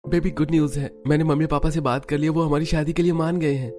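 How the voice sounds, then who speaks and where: A man puts on an eager young cartoon husband. The voice is lifted well up, coaxing and placating, full of promises, with music softly underneath. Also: a little high, little pitch movement, fast talker, pitched above the performer's own voice, lightly acted. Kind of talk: Hindi cartoon story dialogue.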